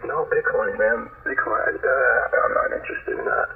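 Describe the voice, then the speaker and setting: A raspy-voiced man chatting casually as a ham radio operator over shortwave, with a blunt, questioning manner. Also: medium pitch, moderate pace, a little hiss or hum. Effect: shortwave radio transmission. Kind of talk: casual two-way radio chat. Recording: distant, muffled, lo-fi shortwave ham signal.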